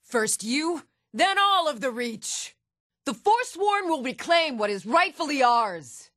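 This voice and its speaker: A woman's voice: high-pitched, very animated, slow, a bit bright, no room sound, studio quality, heavily acted. An actress playing a cruel, gloating female bandit raider in a fantasy video game, menacing and jeering.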